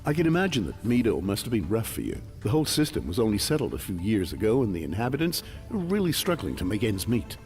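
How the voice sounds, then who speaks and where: An actor playing a brisk, earnest military security commander in video game cutscene dialogue. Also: male voice, lowish voice, wildly swooping pitch, darkish tone, soft background music. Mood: friendly, earnest. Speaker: security chief officer.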